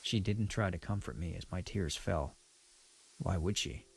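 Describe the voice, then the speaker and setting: A man's voice: deep voice, normal speed, vocal fry, a little hiss or hum. A man playing a guilt-ridden, resigned pony, narrating an audio drama in the first person with grave sorrow. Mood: guilty, anguished. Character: guilt-ridden adult pony factory worker, ashamed, resigned.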